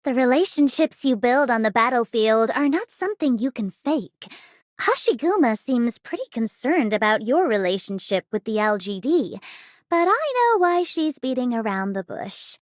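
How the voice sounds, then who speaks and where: A woman's voice: a little high, sing-song, normal speed, no room sound, studio quality. An actress playing a confident young woman in a scripted game monologue. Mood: playful, knowing.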